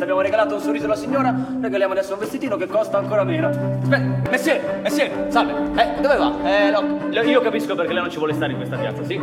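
An actor playing a loud, flattering market hawker with a cajoling sales patter in live radio theatre. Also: male voice, high voice. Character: pushy, flattering market hawker selling clothes to passers-by. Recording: echoing hall, distant mic, music behind.

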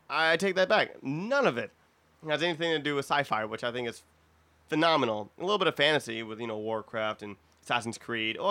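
A man's voice: fairly high pitch, pushed and bright. A man hosting a casual comedy podcast, talking in a jokey, profane way. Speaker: podcast host.

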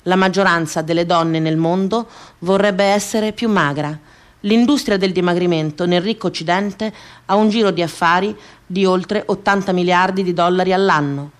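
A woman performing a scripted theatrical monologue for radio, deliberately repeating phrases like an incantation. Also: lowish voice. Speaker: actress performing her own theatrical monologue.

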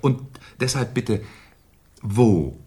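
An actor playing a shrewd, sardonic Paris police inspector in a 1970s German radio crime play, deliberate and mock-courteous. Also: male voice, lowish voice, very animated, dark tone, slightly rough, heavily acted. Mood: mock-polite, insistent.

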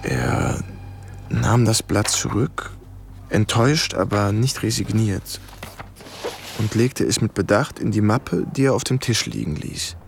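An actor playing a man who recounts his undercover wartime past in a radio drama, measured, wary and confiding. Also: lowish voice, animated, vocal fry, slight background noise. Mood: reflective, wary. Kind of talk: radio drama first-person narration.

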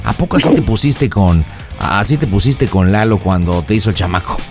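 A man's voice: on the low side, lively intonation, a little fast, a little mellow, faint music behind. A Mexican man hosting a prank call show, improvising comic banter in a mocking, teasing, slangy way.

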